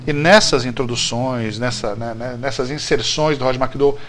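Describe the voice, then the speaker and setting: A man's voice: medium pitch, moderate pace, a bit bright. A Brazilian man hosting a show, talking casually and jokily about trivia and often correcting himself. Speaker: show host. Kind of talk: casual, chatty TV-trivia commentary.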